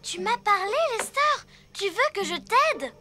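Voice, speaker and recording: Very high-pitched cartoon elephant girl. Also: sing-song, unhurried, bright, forceful tone, soft background music, exaggerated voice. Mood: self-assured. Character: bright, bossy young elephant girl. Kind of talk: French cartoon dubbing.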